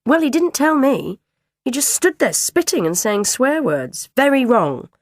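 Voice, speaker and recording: A woman's voice: big pitch swings, a little slow, no echo, clean studio sound, heavily acted. A woman reading an audiobook, voicing a stern, self-righteous dinner lady who scolds children. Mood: scolding. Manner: shrill, bossy, self-righteous.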